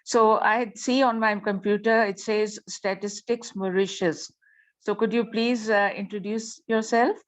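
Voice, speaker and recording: A woman's voice: medium pitch, fairly even intonation. Polite woman hosting an online meeting. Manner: polite, hesitant, halting. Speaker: meeting host. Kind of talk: online meeting moderation, introducing participants. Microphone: video call.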